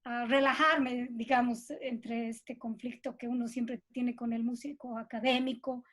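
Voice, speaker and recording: Female interviewee, Bolivian accent from Cochabamba, fairly high voice, informal reflective delivery. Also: little pitch movement, measured pace, a bit bright. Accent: Bolivian Spanish from Cochabamba. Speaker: interviewee, composer and musician. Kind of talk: casual reflective interview talk.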